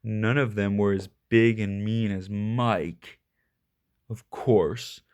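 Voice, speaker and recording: A man reading a children's picture book aloud expressively, leaning on key words with deliberate, dramatic emphasis. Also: fairly low pitch, fairly even intonation, darkish tone, clean tone. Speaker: storybook reader.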